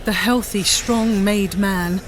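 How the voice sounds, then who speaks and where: A female narrator tells a period story with solemn drama. Her pace is very slow and measured. The pitch is medium for a woman. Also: fairly even intonation, slight background noise.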